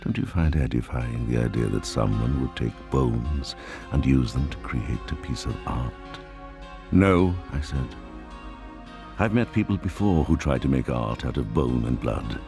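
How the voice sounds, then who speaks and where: A man giving a grave, measured, dramatic reading of a crime novel. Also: a bass voice, expressive, vocal fry. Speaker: audiobook narrator. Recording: music behind the voice. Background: music.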